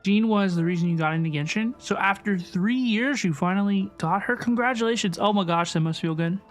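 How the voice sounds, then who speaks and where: A woman's voice: mid-range voice, a little fast. A woman livestreaming a game, chatting casually and effusively as she answers her viewers. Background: game music.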